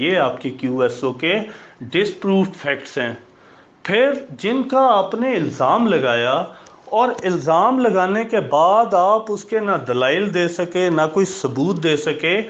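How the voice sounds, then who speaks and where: High-pitched man lecturing, unhurried. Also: dull audio. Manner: didactic, asks questions and answers them; switches between Hindi-Urdu and English. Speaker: law lecturer. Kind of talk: informal explanatory lecture with everyday examples.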